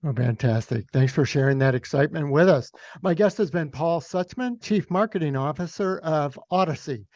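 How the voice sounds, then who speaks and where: Male podcast host, somewhat higher voice, dry sound, faint music, appreciative conversational delivery. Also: lively intonation, normal speed, few pauses, darkish tone, dry recording. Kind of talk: conversational interview hosting.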